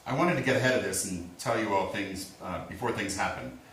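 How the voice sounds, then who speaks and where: A man acting in a staged reading as a steady, apologetic small-town lawman, speaking in a measured, reassuring way. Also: fairly low pitch, natural voice. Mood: grave, hesitant. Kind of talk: staged play reading, naturalistic. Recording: echoey room, distant mic, faint hiss.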